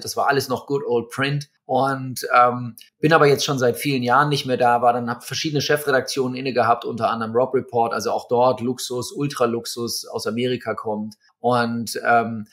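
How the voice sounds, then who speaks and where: A man in his fifties tells stories from his career as a watch magazine editor and pauses often on filler sounds. He speaks at a moderate, middle pitch. The sound comes from a video call in a room at home. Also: a bit bright. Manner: hesitant, many fillers, storytelling. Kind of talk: conversational interview. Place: home room with bookshelves and string lights.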